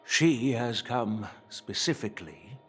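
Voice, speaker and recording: An actor playing a sly, commanding elderly general in a video game, speaking in a deliberate, pointed way. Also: male voice, mid-range voice, expressive, a little hiss or hum. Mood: stately, probing. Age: older. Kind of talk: dramatic video game acting.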